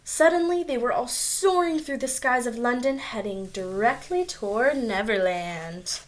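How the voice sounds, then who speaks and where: Lady doing kids read-aloud, highish voice, expressive, chats about the pictures. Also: unhurried, low-quality recording. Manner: expressive, addressing young children. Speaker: storybook reader.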